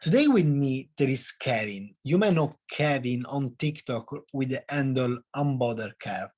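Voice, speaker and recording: A man's voice: medium pitch, animated, moderate pace, darkish tone, no room sound, studio quality. A man in his twenties from Italy, speaking English with an Italian accent as a podcast host. He is eager and talkative, and he searches for words. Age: 20s. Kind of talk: casual podcast chat.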